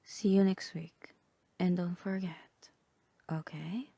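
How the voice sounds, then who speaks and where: Woman playing a slow, whispering female therapist. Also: on the low side, steady tone, no echo, heavily acted. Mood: soothing, professional. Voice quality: breathy, half-whispered. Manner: soft, measured, clinical. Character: calm, composed female therapist guiding a patient through roleplay. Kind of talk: ASMR roleplay voice acting.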